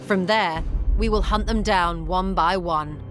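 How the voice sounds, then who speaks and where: An actress playing a proud, confident inquisitor of commanding rank in a video game, haughty in manner, over music. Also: female voice, fairly low pitch, measured pace, close to an everyday voice. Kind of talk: dramatic video game acting. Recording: music behind the voice.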